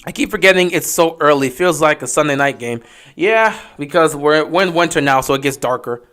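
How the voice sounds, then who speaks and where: A man giving casual live play-by-play commentary on a stream, getting excited and loud on big plays. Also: a little high, brisk, smooth. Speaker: livestream game commentator.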